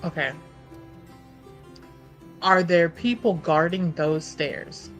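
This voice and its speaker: A woman's voice: on the low side, takes it slowly, soft background music. A woman playing a tabletop roleplaying game, chatting informally and thinking aloud in broken phrases. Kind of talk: casual chat during actual play.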